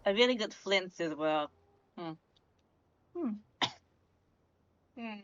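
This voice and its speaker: A woman's voice: mid-range voice, lively intonation, slow pace, a bit bright, smooth, lo-fi sound. A woman livestreaming a game, chatting casually in a musing, offhand way. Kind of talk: casual game stream commentary.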